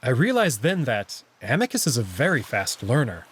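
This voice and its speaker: A man's voice: medium pitch, sing-song, moderate pace, slightly rough. A man reading scripted first-person story narration from a visual novel, with acted dialogue. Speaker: narrator and voice reader.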